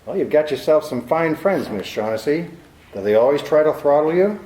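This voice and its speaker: A man's voice: fairly high pitch, animated, fairly quick, noisy background, close to an everyday voice. Hard-boiled private eye, dry and mocking, a man playing him, voice jumps around a lot, echoey old radio play. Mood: curt. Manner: dry, sardonic, commanding. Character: tough, cynical male private detective. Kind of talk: 1940s radio acting. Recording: echoey room.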